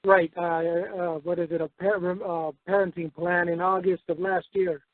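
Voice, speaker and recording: A man with a fairly high voice speaks as a caller over a tinny, dry phone line. He explains himself in a casual, hesitant way, often repeating the start of a phrase. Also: level tone, normal speed, dry recording. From United States, Spain and Mexico. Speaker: caller seeking advice. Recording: narrow-band phone line.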